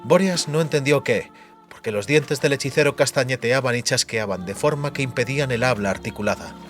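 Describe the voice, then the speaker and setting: A man's voice: mid-range voice, a little fast, a bit bright, faint music behind. A man narrating fantasy fiction as a dramatic, scripted audiobook.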